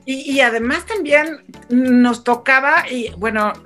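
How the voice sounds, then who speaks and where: A woman's voice: high voice, measured pace, faint music behind. Mexican woman announcer reminiscing. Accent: Mexican Spanish. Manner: lively, recalls her career. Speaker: radio announcer. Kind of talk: conversational reminiscence.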